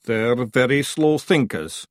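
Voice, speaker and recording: A man's voice: mid-range voice, animated, takes it slowly, no echo, pitched above the performer's own voice. A man acts a big Scottish warrior of the fairy folk in a storybook. He talks bluntly and grumbles. The sound is dry and close. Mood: dismissive.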